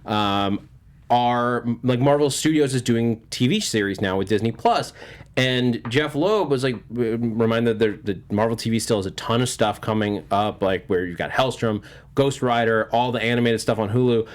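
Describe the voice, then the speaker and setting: A man hosts a casual entertainment panel with a mid-pitched voice. He talks informally and a little jokily, as if to friends and viewers at once. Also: normal speed. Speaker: host of a movie news panel show.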